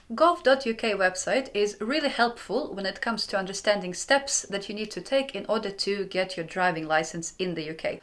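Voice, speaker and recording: Young woman from ukraine, channel host, clear upbeat explaining, casual advice vlog. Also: mid-range voice, fairly bright tone.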